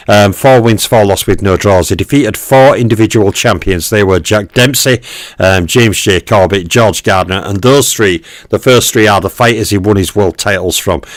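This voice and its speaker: A man's voice: lowish voice, dry recording. A man hosting a boxing history channel, talking through a fighter's record in a casual, filler-heavy way with lots of okays and ums. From Western Europe. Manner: greets viewers in live chat. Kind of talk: casual narrated stat breakdown.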